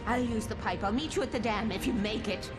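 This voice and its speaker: A woman's voice: on the high side, brisk, over music, lightly acted. An actress playing a stern, impatient, eccentric older woman in a video game, blunt and commanding. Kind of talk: dramatic game acting.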